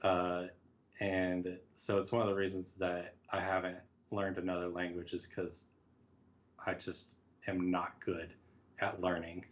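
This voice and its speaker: Dull, lo-fi call audio carries a man with a fairly low voice. He talks as a podcast guest in a casual, reflective way, putting himself down a little and voicing imagined remarks as he explains. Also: monotone, measured pace. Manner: reflective, self-deprecating, talks in quoted asides. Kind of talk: casual conversational interview.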